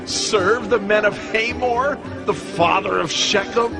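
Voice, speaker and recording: Cocky ancient rebel in a bible audio drama, high voice, boasting and taunting, music behind. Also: male voice, slow pace, vocal fry, full character voice. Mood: scornful boasting. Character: Gaal, brash male rebel upstart in biblical times.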